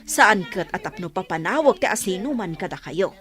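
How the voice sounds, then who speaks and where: A woman's voice: fairly low pitch, lively intonation, moderate pace, fairly bright tone. A woman playing a sociable older village hostess, insistent and inviting, in an Ilocano comedy radio drama. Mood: pleading.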